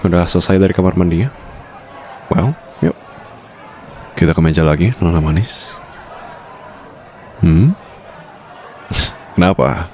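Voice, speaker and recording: A man's voice: low voice, unhurried, pauses often, a little mellow, a faint crowd, slight background noise. A man playing a suave, protective mafia boss boyfriend in an intimate, teasing ASMR roleplay. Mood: teasing, calm.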